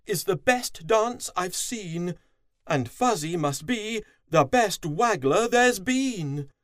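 A man's voice: high voice, expressive, slow, a bit bright, dry recording, studio quality, voice pushed up, full character voice. A man playing a regal queen bee. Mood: grand. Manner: declaring.

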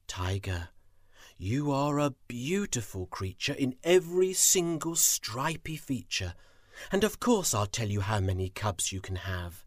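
A storyteller voicing a gentle but stern male lord of the sky who speaks softly and solemnly in a children's tale. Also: medium pitch, big pitch swings, no echo, clean studio sound. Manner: soft, slow and solemn.

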